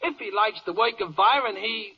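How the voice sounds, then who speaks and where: A man's voice: high voice, moderate pace, slightly rough. An actor playing an exuberant, giddy spokesman in singsong rhyming verse for an old radio comedy. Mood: giddy, excited. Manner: excitable, singsong rhyming patter. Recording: muffled, noisy old radio recording.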